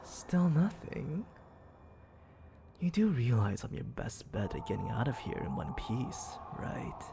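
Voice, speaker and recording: A menacing demon lord, acted by a man, speaks slowly with a gravelly rasp that often sinks into a breathy whisper. He is teasing and condescending, coaxing in an intimate ASMR roleplay style, with clear noise behind the voice. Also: medium pitch, sing-song, exaggerated voice. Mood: intrigued, probing. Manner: slow, taunting, condescending, coaxing.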